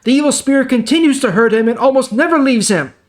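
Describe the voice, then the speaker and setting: Guy reading as a desperate bible story dad, high raised voice, pleading hard for his only son. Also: expressive, fast. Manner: pleading, emphatic.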